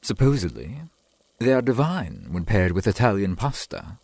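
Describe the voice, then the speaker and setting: A man playing a courtly, doting centuries-old vampire boyfriend in an ASMR roleplay, speaking in formal, archaic, gently attentive phrases. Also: on the low side, very animated, moderate pace, creaky, breathy edge, no room sound, full character voice.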